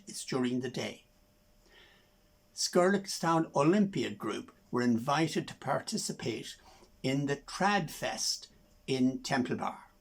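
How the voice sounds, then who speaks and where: Older irish guy reading poems and introducing acts, slow talker, raspy husky voice. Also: fairly high pitch. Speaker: host introducing songs and reading his own poem. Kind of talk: poetry reading and scripted introductions.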